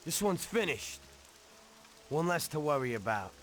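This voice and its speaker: A man playing a hot-headed, brutal gangster henchman in a video game, with an excitable, aggressive, slangy delivery. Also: a little high, a little slow, slight background noise. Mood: taunting. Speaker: mob enforcer character.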